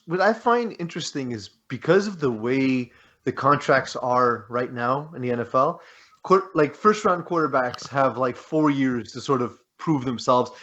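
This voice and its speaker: A man's voice: a little high, fairly bright tone, dull audio. A man co-hosting a sports podcast, talking casually and informally.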